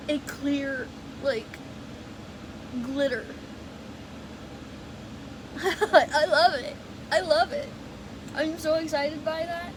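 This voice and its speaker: A young woman vlogging casually at home, chatty and rambling, with a stuffed-up nose. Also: sits high. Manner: chatty, rambling, self-correcting. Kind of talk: casual vlog chat. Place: bedroom at home with AC and fan running. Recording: loud fan and AC noise behind.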